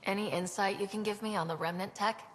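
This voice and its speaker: A woman's voice: mid-range voice, steady tone, fast talker, slight background noise, natural voice. A woman playing a frank, eager young space explorer in a video game, with a casual, direct delivery. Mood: casual, willing.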